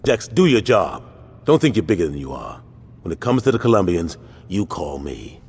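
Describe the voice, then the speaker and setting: An actor playing a stern, cold gang boss in a game cutscene, speaking in curt, authoritative orders. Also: male voice, sits low, sing-song, moderate pace, darkish tone, in a large hall, a little hiss or hum. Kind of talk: video game cutscene acting.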